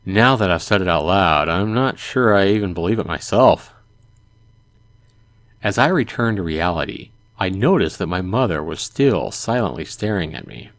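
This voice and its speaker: A man's voice: deep voice, animated, normal speed, a bit bright, no echo. A man reading a visual novel aloud as a let's play host, narrating expressively in the first person. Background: music.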